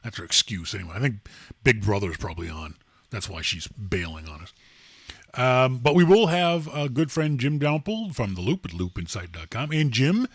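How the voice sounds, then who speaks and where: A man's voice: medium pitch, fairly bright tone, no echo. Guy hosting a live mac podcast, rambly and jokey, voice bounces all over, dry close sound. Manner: rambling, joking, many fillers and restarts. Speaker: podcast host. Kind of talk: casual live show chat.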